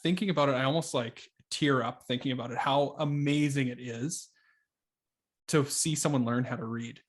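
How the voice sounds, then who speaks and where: A man, hosting a casual podcast conversation in halting, searching phrases. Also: mid-range voice, fairly even intonation. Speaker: podcast host.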